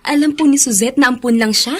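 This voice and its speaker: A woman's voice: high voice, fast. An actress playing a polite, earnest seventeen-year-old Filipina girl in a melodramatic radio drama. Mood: curious, sympathetic. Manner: respectful, soft-hearted questioning.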